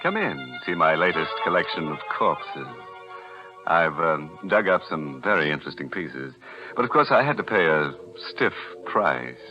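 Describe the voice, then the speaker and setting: Vintage horror anthology host: low, macabre, tongue-in-cheek, with music underneath. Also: male voice, expressive, moderate pace. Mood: gleefully macabre. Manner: mock-sinister, tongue-in-cheek. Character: ghoulish, gleefully punning male horror host. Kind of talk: 1940s radio horror hosting. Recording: muffled old radio recording, music behind.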